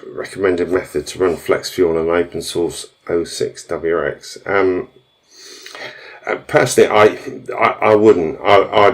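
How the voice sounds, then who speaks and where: A man's voice: low-pitched, fairly even intonation, unhurried. A man hosting a live stream and answering viewer questions in a casual, rambling way, full of fillers and restarts. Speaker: tuning shop host answering viewer questions.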